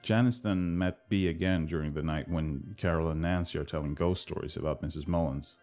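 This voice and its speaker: A man giving a director's commentary, explaining things in a measured, matter-of-fact way. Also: rumbling low, fairly even intonation, darkish tone, faint music behind.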